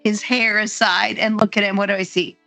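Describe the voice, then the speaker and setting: Bright female player; hesitant, filler-laden, dry mic. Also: mid-range voice, level tone, brisk, vocal fry, no echo, faint music behind. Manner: hesitant, many fillers and restarts. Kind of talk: roleplaying her character in a tabletop game.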